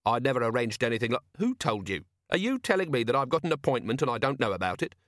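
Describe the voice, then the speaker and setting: Brief: male narrator doing a curt, exasperated middle-aged watch commander. The pitch is mid and lively, with a dry sound. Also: fast, dry recording. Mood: gruff impatient.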